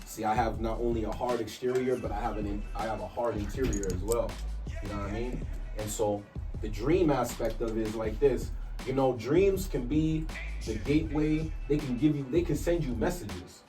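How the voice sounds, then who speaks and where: A man's voice: mid-range voice, moderate pace, mellow, recorded from a distance, faint music behind. Laid-back man teaching hip hop. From Canada. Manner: laid-back, peppered with "you know what I'm saying". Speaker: hip hop workshop host. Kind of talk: casual teaching talk.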